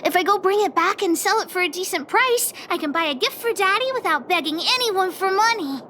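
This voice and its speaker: A woman voicing a bossy, excitable little girl who leads a gang of kids, self-important and cheeky, in a video game. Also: extremely high pitch, brisk, a little hiss or hum, heavily acted. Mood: scheming, indignant. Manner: self-important, cheeky, refers to herself by name. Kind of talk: video game character voice acting. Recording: music under the voice. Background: background music.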